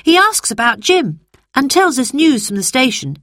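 A woman's voice: high-pitched, very animated, moderate pace, fairly bright tone, dry recording, studio quality, voice pushed up. A woman playing an eager bossy boy. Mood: chatty.

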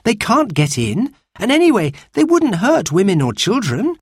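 A narrator voicing a calm, sensible girl in a storybook, speaking in a measured, reassuring way. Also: medium pitch, breathy edge, no room sound, studio quality, voice pushed up, exaggerated voice.

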